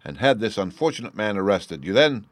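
A man's voice: lowish voice, sing-song, fairly quick, no echo. Storybook role: shrewd, confident detective, played by a man, genteel, precise, commanding, dry recording. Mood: accusing, measured.

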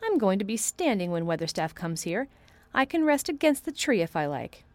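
A woman voicing a proud, imperious sickly boy who speaks in sharp, commanding tones, as she reads a storybook aloud. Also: mid-range voice, very animated, moderate pace, no echo, lightly acted. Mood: determined.

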